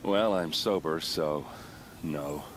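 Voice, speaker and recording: Video game soldier, extremely deep voice, gruff, bitter, wry, grief-worn, noisy background. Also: male voice, slow. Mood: wry, weary. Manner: blunt, wry, world-weary. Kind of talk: cinematic video game dialogue.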